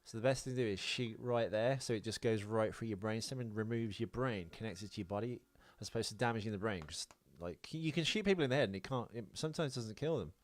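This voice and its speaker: Fast-talking man with a lowish voice. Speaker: podcast co-host. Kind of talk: casual film chat.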